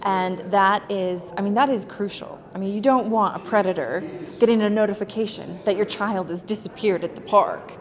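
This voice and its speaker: A woman speaks at a public event with a mid-pitched voice and an earnest, emphatic delivery. She repeats words to stress her points. The long reverb of a hall trails her speech. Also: moderate pace.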